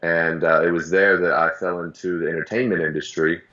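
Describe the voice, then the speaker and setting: Deep-voiced American male guest, relaxed storytelling, dull lo-fi sound. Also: a little fast. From United States. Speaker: interview guest. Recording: slightly dull, lo-fi.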